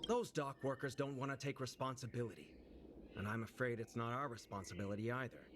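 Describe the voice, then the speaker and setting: An actor playing an affable, concerned male delivery branch manager in a video game, courteous and expressive in his speech. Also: medium pitch, brisk, a little hiss or hum, lightly acted. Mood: exasperated. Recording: music playing behind the voice.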